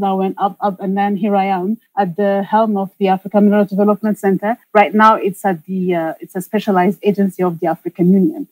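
A woman from Ethiopia speaks in an interview with a mellow, warm tone at a middle pitch. She answers earnestly and reflectively, pausing on the odd filler, and her voice sounds dry and close. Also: dry recording, rough recording. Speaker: director of a minerals development centre.

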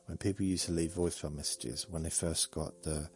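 A man hosting a sleep podcast, talking slowly in a meandering, deadpan, joking way with faint music behind. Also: extremely low pitch. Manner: slow, meandering, deadpan humor. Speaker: podcast host. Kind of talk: rambling sleepy monologue.

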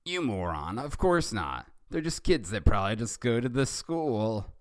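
A man's voice: medium pitch, sing-song, moderate pace, voice pushed up, lightly acted. A man voicing a sarcastic, scornful male hedgehog rival while reading a fan story aloud.

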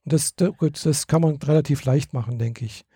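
A man's voice: on the high side, brisk, creaky, dark tone, slightly rough, no echo, studio quality. A man, chatting casually and hesitantly, with many fillers and restarts. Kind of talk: casual chat.

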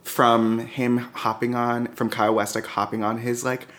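A man's voice: medium pitch, level tone, moderate pace, a bit bright. A man speaks as a guest on a casual TV podcast. His delivery is informal and conversational, peppered with filler words as he works through his opinions. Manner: informal, punctuates thoughts with "like" and "you know". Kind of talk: casual TV discussion chat.

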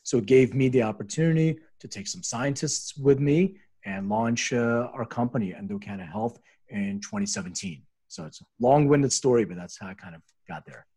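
A man's voice: medium pitch, expressive, moderate pace, few pauses, warm tone, husky. A middle-aged American man telling his story conversationally as a podcast guest, in an informal, rambling, explanatory way. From Philadelphia, US, born in Lithuania. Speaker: interview guest, medicinal cannabis company founder.

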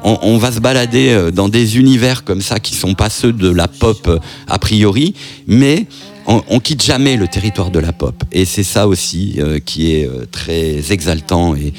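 A man with a lowish voice speaks in an interview, pausing often and restarting his phrases. Faint music plays quietly underneath him. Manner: hesitant, many fillers and restarts. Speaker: festival programmer. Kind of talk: interview soundbite.

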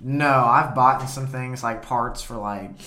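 A man co-hosting a podcast, relaxed and full of fillers, chatting casually. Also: mid-range voice, slow pace. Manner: relaxed, filler-heavy, laughs easily. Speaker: podcast co-host. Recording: echoey room.

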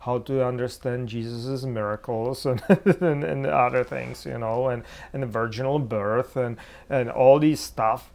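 A man's voice: mid-range voice, steady tone, unhurried, creaky, few pauses, a little mellow. A man with a Czech accent speaking as a preacher in a reflective theological discussion, searching for words as he goes. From Czech Republic. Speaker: preacher, interview guest.

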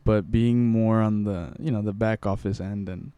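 A man's voice: on the low side, steady tone, normal speed, warm tone, smooth, dry recording, dull audio. A young man from Mexico, telling his story in a casual interview, rambling with many restarts and fillers. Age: young adult.

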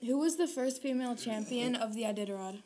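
A woman's voice: a little high, a little fast, a little mellow, slight background noise. A woman asking direct, plain interview questions. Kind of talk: casual interview.

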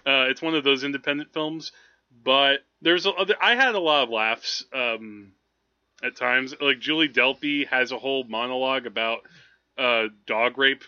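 Very bright-voiced man chatting about films. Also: mid-range voice, normal speed, smooth, no echo. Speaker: movie podcast host. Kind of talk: casual film review chat. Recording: slightly dull, lo-fi podcast audio.

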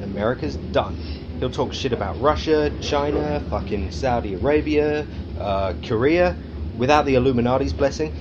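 An actor playing a crude, megalomaniac British arms company boss in a satirical audio drama, domineering, sarcastic and sweary. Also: male voice, medium pitch, highs cut off, noisy background, natural voice.

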